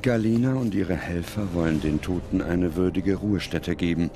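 A man reading scripted documentary narration in a solemn, measured manner with dramatic pauses. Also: sits low. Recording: loud music under the voice. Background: music.

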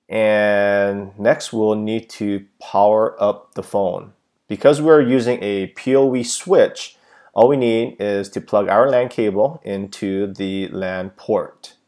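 A man's voice: on the low side, a little mellow. A man giving a plain, methodical step-by-step how-to narration, with frequent filler sounds, as a tech tutorial presenter.

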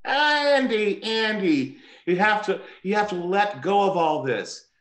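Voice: mid-range voice, expressive, slow, a bit bright. An actor voicing a killer doll who wheedles and pleads to make amends in a dramatic scene over a video call. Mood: pleading, apologetic. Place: indoor room, remote video call. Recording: roomy echoing video-call audio.

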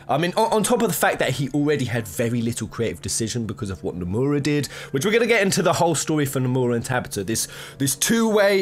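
A young man hosting a gaming video, giving opinionated commentary to camera with an animated, rambling delivery full of restarts, recorded on a desk mic in a small room. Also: mid-range voice. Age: young adult. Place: small room, gaming chair, green-screen backdrop.

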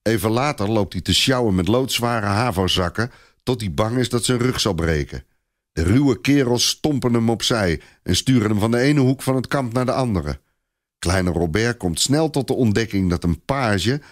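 Low-pitched male storyteller. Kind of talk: dramatic scripted story narration.

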